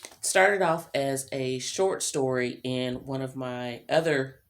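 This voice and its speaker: A woman's voice: a bass voice, takes it slowly. A middle-aged woman vlogger talking in a casual, chatty monologue with informal, rambling asides. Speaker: vlogger and self-employed writer.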